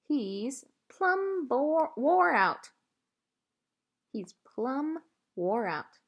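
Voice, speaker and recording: A woman's voice: high-pitched, sing-song, extremely slow, breathy edge, pitched above the performer's own voice, exaggerated voice. A woman voicing a kindly old woman who tells a story in folksy dialect, in a storybook reading. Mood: knowing, fond.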